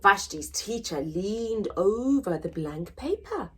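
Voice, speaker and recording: A woman hosting a children's story time, reading aloud in an expressive, deliberate, sing-song way for small children. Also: mid-range voice, slight background noise. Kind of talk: animated read-aloud for young children.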